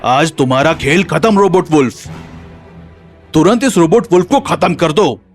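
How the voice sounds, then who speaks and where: A man voicing an earnest, determined inventor hero in an animated children's story, speaking with resolve. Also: on the high side, normal speed, faint music behind, close to an everyday voice. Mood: resolute.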